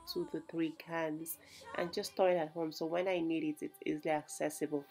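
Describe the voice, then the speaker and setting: A young woman talks through her grocery shopping in a chatty, explaining way, full of little fillers. Her voice sits fairly low for a woman. Soft music plays quietly behind her. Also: vocal fry. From Nigeria. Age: young adult. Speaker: vlogger. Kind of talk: casual shopping vlog narration.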